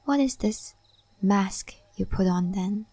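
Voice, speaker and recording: A woman playing a calm, wise, mysterious guide of a spirit forest in an ASMR roleplay, speaking gently and softly, often in a breathy whisper, with pauses. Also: medium pitch, no room sound. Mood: tender, probing. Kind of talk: soft-spoken, half-whispered ASMR roleplay.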